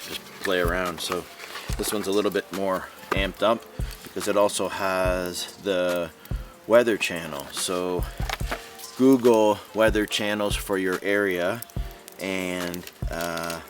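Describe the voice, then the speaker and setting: A man chatting casually and unscripted in a rambling, easygoing way as an outdoor vlogger showing gear. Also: deep voice. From Niagara region, Ontario, Canada. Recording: distant mic, music underneath.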